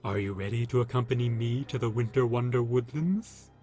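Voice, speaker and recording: A man's voice: medium pitch, brisk, dark tone, faint music behind, natural voice. A man voicing a gleefully sinister children's TV creature in a horror story, with a theatrical, mock-sweet showman delivery. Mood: sinister cheer. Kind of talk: horror story character reading.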